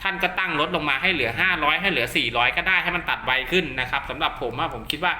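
Bright, forceful man giving a casual demo. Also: fairly high pitch, rapid-fire, slight background noise. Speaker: host of a radio gear channel. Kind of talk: casual product test tutorial. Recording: roomy echo.

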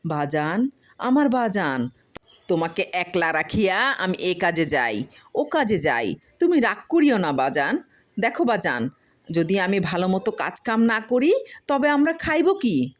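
A woman's voice: mid-range voice, very animated, a little slow, voice pushed up. A woman voicing a simple, tender poor male farmer in a storybook reading, pleading and exclaiming with affection.

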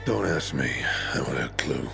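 An actor playing a bitter, burnt-out, drunken former commander in a video game, weary, defeatist and foul-mouthed. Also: male voice, extremely low pitch, lively intonation, moderate pace, gravelly, fairly bright tone, soft background music, heavily acted. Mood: bitter, resigned. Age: middle-aged. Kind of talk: dramatic video game acting.

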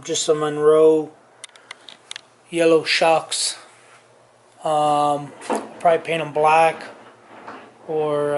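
A man's voice: a little high, a little hiss or hum. Tired, rambling man in a garage. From Southern California. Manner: rambling, trails off, lots of ums and self-corrections. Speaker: garage vlogger. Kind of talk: casual rambling chat to camera. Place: home garage workshop. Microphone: on-camera mic, camera at arm's length and on bench.

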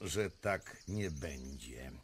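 Arrogant Russian ambassador, radio drama, slow and threatening, lively pitch swings. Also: male voice, very noisy, heavily acted. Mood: haughty. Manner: haughty, menacing.

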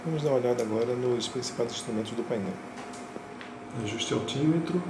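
A man explains his homemade flight panel in a steady, methodical way. His voice echoes around a small room. Heavy fan and engine hum sits underneath him the whole time. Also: mid-range voice, fairly quick. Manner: calm, methodical, pointing things out. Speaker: flight sim hobbyist. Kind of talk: casual show-and-tell narration. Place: home simulator room, indoors. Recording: roomy, with loud fan and engine noise.

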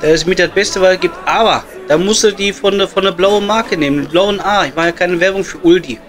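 Man playing a high-voiced, blunt Ruhr coal miner. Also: a little fast, a bit bright, soft background music. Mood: chatty, joking. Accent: Ruhr area German. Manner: blunt, chatty, sweary. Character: blunt, joking working-class coal miner at his pub. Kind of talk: improvised comedy audio play, pub chat.

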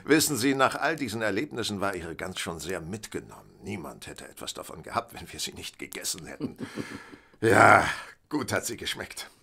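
Radio drama detective: shrewd, wry, self-assured, forceful and husky, very animated melody. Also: male voice, lowish voice, exaggerated voice. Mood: wry, playful. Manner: theatrical, deliberate, wry. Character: shrewd, self-assured, playfully smooth-talking gentleman detective. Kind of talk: German radio play acting.

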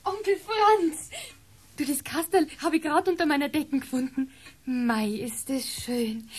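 An actress playing an affectionate, teasing sixteen-year-old niece in a radio play, gushing and playful, with a Bavarian accent. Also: female voice, sits high, measured pace. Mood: delighted.

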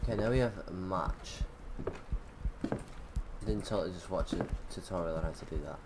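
A man's voice: deep voice, moderate pace, very noisy. A teenage boy, a gaming YouTuber, chatting casually through a horror game from his bedroom. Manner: chatty, animated, shrieks when startled.

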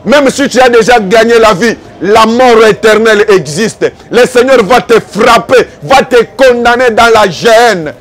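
A male evangelical preacher with a Congolese accent and a very high-pitched voice. He delivers a fervent sermon in an exhorting, accusatory manner. He drives his points home through repetition and rhetorical questions. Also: unhurried, few pauses.

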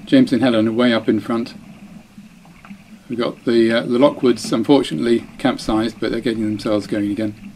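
A man's voice: medium pitch, fairly even intonation, moderate pace, darkish tone, slight background noise, low-quality recording. Chatty man commenting on a race. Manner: chatty, self-deprecating, breaks off mid-phrase. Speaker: dinghy racing sailor. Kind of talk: voice-over commentary on race footage.

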